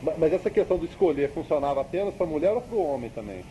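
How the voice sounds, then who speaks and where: A man's voice: on the high side, quick pace, background noise. A man interviewing a family, asking prompting questions and filling in with short acknowledgements. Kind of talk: oral history interview.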